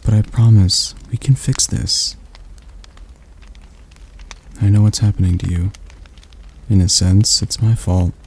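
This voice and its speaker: A man voicing a calm, gentle male demon tutor in an ASMR roleplay, speaking softly and slowly with a slight stutter. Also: lowish voice, vocal fry, husky, heavily acted, poor audio. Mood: soothing. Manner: soft, slow, soothing delivery.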